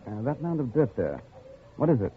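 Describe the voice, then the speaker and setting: An actor playing an educated, polite and weary traveller stranded in the jungle, in a radio drama. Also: male voice, medium pitch, big pitch swings, moderate pace, a little hiss or hum, natural voice. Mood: uneasy. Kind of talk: 1950s radio drama acting and first-person narration. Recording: thin, lo-fi old radio recording.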